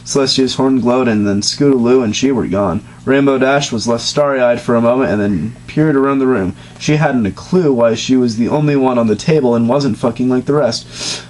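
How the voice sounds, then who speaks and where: A man giving a comedic dramatic reading of a written story, mostly deadpan and now and then cracking into high laughter. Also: moderate pace, few pauses, rough recording. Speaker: dramatic reader.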